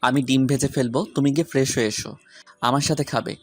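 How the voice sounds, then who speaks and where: A man's voice: medium pitch, a little fast, faint music behind, natural voice. A man playing a stern, teasing young university teacher in an audio romance, with a grave, curt, commanding manner. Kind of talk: acted dialogue in an audio romance story.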